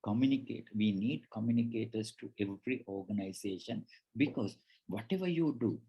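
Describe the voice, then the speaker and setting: A man's voice: fairly low pitch, animated, fairly quick, slightly rough, lo-fi sound. A man who learned English as a third language, presenting on job interviews in a conversational way with frequent restarts. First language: not English. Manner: conversational, restarts often, acts out quoted dialogue.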